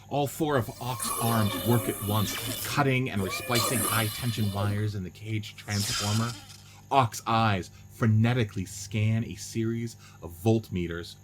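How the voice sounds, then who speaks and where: Low-voiced man narrating a script. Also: a little hiss or hum. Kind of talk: scripted radio-play narration of stage directions.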